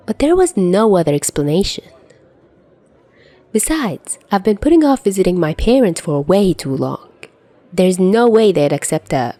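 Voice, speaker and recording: A woman performs a young woman commuter in an audio fan fiction story. She is excitable and incredulous, exclaiming her thoughts with wide swings in pitch as she tells of a supernatural disaster around her. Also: dry recording. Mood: wry, dismissive. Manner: incredulous, exclamatory inner monologue. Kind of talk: first-person fan fiction narration.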